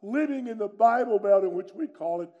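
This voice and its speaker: A middle-aged man, preaching a sermon with personal testimony in a loud, booming, strong delivery. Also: lively intonation, brisk, highs cut off. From North Carolina, USA. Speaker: pastor.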